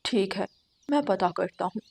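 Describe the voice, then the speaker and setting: A woman's voice: medium pitch, moderate pace, vocal fry, a little mellow, breathy edge. Woman voicing an earnest young husband bird in a cartoon. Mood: firm. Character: caring young husband sparrow, firm with his mother.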